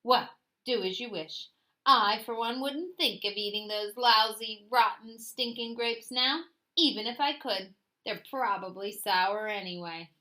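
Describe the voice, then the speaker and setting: A woman's voice: a little high, a little slow, bright, forceful tone, poor audio. A woman voicing a sly, vain, bossy male fox in a storybook, with a pompous, condescending, showy manner. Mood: grumpy, huffy. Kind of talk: animated storybook read-aloud.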